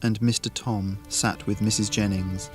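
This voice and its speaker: A man reading an atmospheric short story in a deliberate, measured, literary manner. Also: fairly low pitch, steady tone, over loud music. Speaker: narrator.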